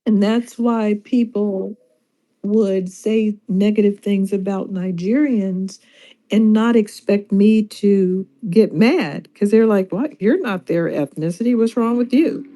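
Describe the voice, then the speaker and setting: Mellow-voiced woman co-host. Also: medium pitch, slow. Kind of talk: casual talk show chat.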